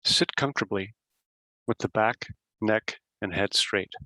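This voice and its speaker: A man leading a guided meditation as host, giving simple instructions in short phrases with long pauses. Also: lowish voice, sharp tone, no room sound, rough recording.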